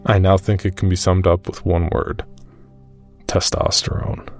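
A man playing an uneasy cave explorer, recounting his expedition in measured first-person horror narration. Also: low voice, level tone. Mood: reflective, uneasy. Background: music.

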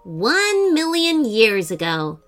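A woman voicing an eager, confident young boy adventurer in a children's story, excitable and matter-of-fact. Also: fairly high pitch, slow pace, faint music behind, voice pushed up, heavily acted.

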